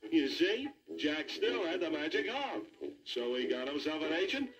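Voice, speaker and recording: A man's voice: on the high side, big pitch swings, moderate pace, fairly bright tone. A man voicing a folksy, easygoing cartoon bear telling a jokey fairy tale for children. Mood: chatty. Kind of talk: animated comic storytelling for children.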